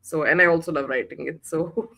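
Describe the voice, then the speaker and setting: A woman's voice: low-pitched, a little fast, a bit bright, husky. Hesitant woman author on a video call. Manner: hesitant, many fillers and restarts. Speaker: guest author. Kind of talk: casual interview chat.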